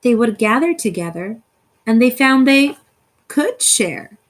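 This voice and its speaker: A woman reading a rhyming children's story aloud in an expressive, theatrical way with deliberate phrasing. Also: on the high side. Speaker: storybook reader.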